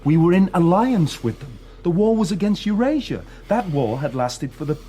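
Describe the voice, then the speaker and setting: A scared prisoner, soft dark tone, voice jumps up and down, pleading but stubborn, radio play, slight noise. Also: male voice, on the high side, big pitch swings, moderate pace. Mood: desperately insistent. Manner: pleading, earnest, strained. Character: frightened, tormented male prisoner, stubbornly clinging to truth. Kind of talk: radio drama acting.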